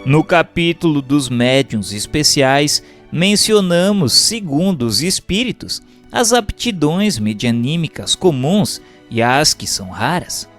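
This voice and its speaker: A Brazilian man reads a formal text as a scripted narration, in a measured and carefully phrased manner. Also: fairly high pitch, expressive, fairly bright tone, soft background music. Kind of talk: scripted audiobook-style reading of a doctrinal text.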